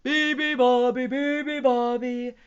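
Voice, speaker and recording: A boisterous zoo animal belting out a sing-song nonsense chant for a children's storybook, voiced by a woman. The voice is raised high and loud, with slightly dull sound. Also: extremely slow. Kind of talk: children's storybook read aloud.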